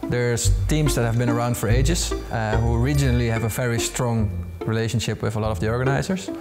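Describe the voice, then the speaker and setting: A man's voice: medium pitch, fairly even intonation, fairly quick. A man explaining his team's situation in an interview style. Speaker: cycling team staff member. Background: loud music under the voice.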